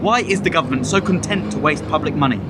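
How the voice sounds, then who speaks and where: An actor playing a persistent press reporter putting formal, challenging questions in a radio play. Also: male voice, a little high, expressive, quick pace, close to an everyday voice. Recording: loud outdoor traffic noise.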